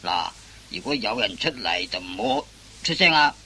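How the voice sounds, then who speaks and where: Bright, animated, bossy Cantonese policeman. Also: fairly low pitch, moderate pace, exaggerated voice. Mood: bossy, hushed. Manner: commanding, mockingly pleased. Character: bossy, smug policeman on a night stakeout. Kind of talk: Cantonese radio farce acting. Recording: noisy old radio drama recording.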